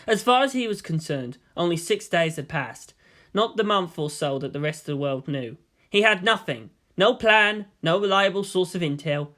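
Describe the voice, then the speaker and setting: A man's voice: high-pitched, expressive, moderate pace, bright, forceful tone. A man reading scripted fiction aloud as an audiobook narrator.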